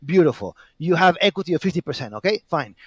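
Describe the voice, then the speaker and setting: A man's voice: on the high side, expressive, quick pace, slightly rough, no echo. A man in his late thirties, talking casually on a stream call, rambling with many fillers and blunt profanity. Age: 30s. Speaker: guest caller.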